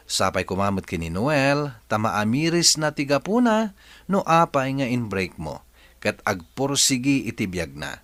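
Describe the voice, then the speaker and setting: A man's voice: medium pitch, a bit bright, no room sound. A man with a Filipino accent hosting a radio drama programme in a dramatic, scripted presenting style. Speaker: radio drama host and narrator.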